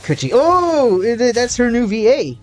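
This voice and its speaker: A woman's voice: a little high, very animated, unhurried, a little mellow, soft background music. A woman doing gameplay commentary as a streamer and reading dialogue aloud. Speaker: game streamer.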